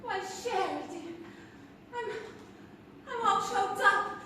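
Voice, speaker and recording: A woman's voice: very high-pitched, takes it slowly, sharp tone, exaggerated voice. A woman playing a vain, gushing actress in a stage comedy, drawing out her sentimental lines melodramatically. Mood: flattered. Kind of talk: comic stage radio-play acting. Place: theatre stage before a live audience. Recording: echoey theatre stage from far off, faint noise.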